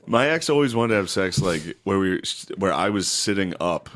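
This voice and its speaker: A man's voice: low-pitched, lively intonation, normal speed, fairly bright tone. A man bantering crudely and casually as a comedy podcast host, swearing and tossing in jokes. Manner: profane, joking interjections.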